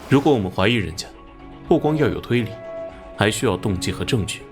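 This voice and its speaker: Guy doing a level-headed cop, lowish voice, official but expressive, cartoon drama. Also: music in the background, natural voice. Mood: firm, reasoned. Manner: measured, official, questioning. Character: stern, level-headed male police investigator. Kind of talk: animated drama acting.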